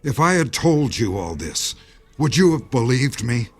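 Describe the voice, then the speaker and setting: An actor playing Jerry, a weary, resigned insider explaining the end of the world in a grave radio-drama manner. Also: male voice, mid-range voice, big pitch swings, unhurried, husky. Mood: bitter, explaining. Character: Jerry, weary male think-tank insider facing doomsday, resigned.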